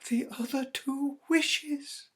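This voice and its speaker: Man playing a frantic, high-pitched grieving old woman. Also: steady tone, slow. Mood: hysterical. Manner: frantic, breathless crying out. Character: elderly grieving mother, feverish and desperately insistent.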